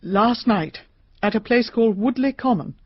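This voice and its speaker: An actress playing a shaken middle-aged British mystery novelist in a radio drama, talkative, hesitant and confiding. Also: female voice, a little high, lively intonation, normal speed, husky, dry recording. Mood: earnest, confiding. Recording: slightly dull, dry radio recording.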